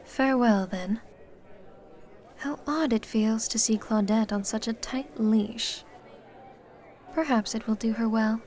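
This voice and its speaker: Woman playing a refined, higher-voiced vampire hostess. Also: slow, long pauses, a little mellow. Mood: wry, apologetic. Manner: courteous, formal, cool. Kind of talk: scripted ASMR audio roleplay.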